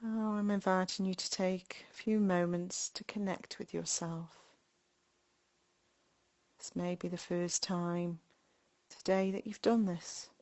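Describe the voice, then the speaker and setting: A woman leads a guided meditation at a very slow pace. Her voice sits a little low, with a flat, even melody. She is gentle and leaves long pauses between short phrases. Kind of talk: guided body scan meditation.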